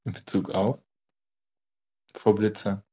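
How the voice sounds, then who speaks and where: Young man, 19, low monotone, short offhand answers. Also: takes it slowly. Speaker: interviewee. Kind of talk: casual interview answers.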